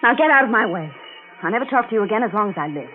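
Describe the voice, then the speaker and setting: A woman's voice: medium pitch, animated, racing, faint music behind, close to an everyday voice. An actress playing a flighty, easily frightened young woman in a 1940s radio thriller, breathless and exclamatory. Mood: indignant, startled. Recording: tinny band-limited 1940s radio recording.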